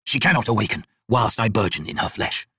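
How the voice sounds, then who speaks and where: A man voicing a bitter, chained, crippled god in an audiobook, rasping and wheezing, with slow, laboured, archaic speech. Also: fairly low pitch, expressive, pushed and bright, no room sound, clean studio sound, full character voice. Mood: bitter, pained.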